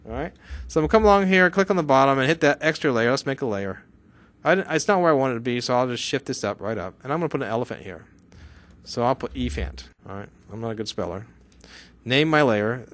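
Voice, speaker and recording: Guy doing a casual software tutorial, talks really quick, chatty, keeps checking in with little questions. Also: mid-range voice. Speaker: software instructor.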